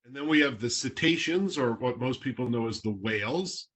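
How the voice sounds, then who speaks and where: A man's voice: medium pitch, animated, normal speed, fairly bright tone. A man from Toronto, Canada, a marine biologist talking casually on a video call, explaining in plain words with a few fillers.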